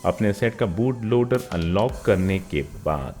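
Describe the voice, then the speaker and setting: A man's voice: fairly low pitch, lively intonation, measured pace, a little mellow, soft background music. A man giving an informal tech walkthrough as a vlogger, mixing English words and phrases into his speech. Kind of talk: informal phone update walkthrough narration.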